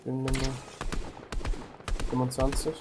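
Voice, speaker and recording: A man playing as a teammate in a shooter, giving quick, casual callouts in slangy speech. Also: medium pitch, monotone, noisy background. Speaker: teammate in a game. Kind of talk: team callouts while gaming.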